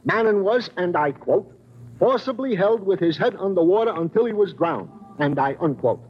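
A man's voice: high-pitched, expressive, normal speed. An American man playing a chatty, eager police sergeant giving clipped reports with folksy asides in a radio drama. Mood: eager, official. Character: chatty, eager male police sergeant briefing his boss. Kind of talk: 1950s radio crime drama acting. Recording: muffled, noisy vintage radio recording.

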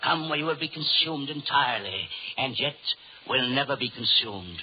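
An actor playing a gloating devil in a 1930s radio horror drama, with a slow, incantatory, theatrical delivery. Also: male voice, mid-range voice, lively intonation, a little hiss or hum, lightly acted. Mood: gloating, menacing. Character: gloating male devil luring souls into the flames. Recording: muffled 1930s radio recording.

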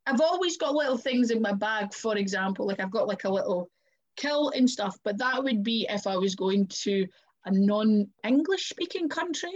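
A woman's voice: mid-range voice, lively intonation, moderate pace, darkish tone, highs cut off. A Scottish woman and wrestler, chatting casually and acting out little quoted exchanges. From Scotland. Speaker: wrestler and podcast guest. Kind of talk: casual chat.

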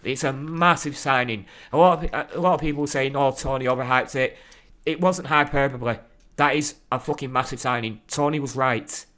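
Bright-voiced sweary man. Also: medium pitch, moderate pace. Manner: sweary, animated, rambling. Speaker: podcast co-host. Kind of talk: casual chat.

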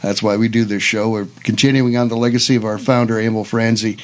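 A man's voice: on the low side, little pitch movement, fast talker. An older man hosting a radio show in a casual, chatty and folksy, easygoing way. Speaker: radio host. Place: radio studio.

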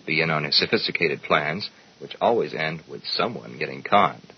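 A man's voice: a bass voice, animated, moderate pace, slightly rough. A man announcer reading a dramatic, scripted radio programme promo with dull, lo-fi sound.

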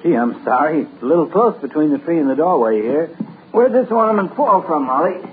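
Genial bachelor doctor, as an actor plays him. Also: male voice, a little high, expressive, fairly quick, slight background noise, lightly acted. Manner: smooth, jovial and dreamy. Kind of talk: 1940s radio comedy acting. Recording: tinny lo-fi old radio broadcast.

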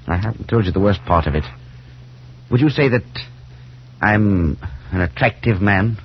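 An actor playing a nervous, irritable, haunted professor in a 1940s radio drama, speaking haltingly and with emphasis. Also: male voice, on the low side, breathy edge. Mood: horrified. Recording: dull, hissy 1940s radio broadcast.

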